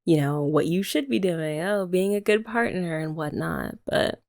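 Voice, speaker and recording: Playful woman with a gravelly edge. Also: fairly low pitch, lively intonation, unhurried. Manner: soft, playful and teasing, talking to the listener. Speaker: ASMR creator. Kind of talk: ASMR girlfriend roleplay.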